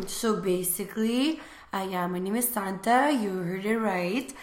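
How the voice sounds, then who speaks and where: A woman's voice: medium pitch, unhurried. Young woman chatting. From Lithuania. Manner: relaxed, chatty, many fillers. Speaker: guest, small business owner. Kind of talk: casual interview chat. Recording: echoey room.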